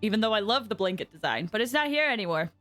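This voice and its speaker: A woman livestreaming games, chatting casually with viewers and reacting in an excitable, expressive way. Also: medium pitch, fast. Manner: excitable, expressive, often bursting into squeals and laughter. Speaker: VTuber livestreamer, game streamer. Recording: loud music behind the voice. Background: music.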